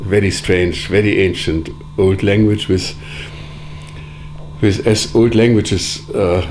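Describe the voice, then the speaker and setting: Measured low-voiced man, noisy background hum. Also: steady tone, darkish tone. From Germany. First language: Sorbian. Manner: measured, deliberate, with frequent pauses and fillers. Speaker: interviewee, editor of philosophical writings. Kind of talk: reflective interview answers.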